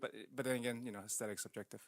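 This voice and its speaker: A man's voice: mid-range voice, steady tone, racing, no echo. A man co-hosting a podcast in casual, argumentative banter, swearing freely and pressing his points. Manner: profane. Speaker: podcast co-host.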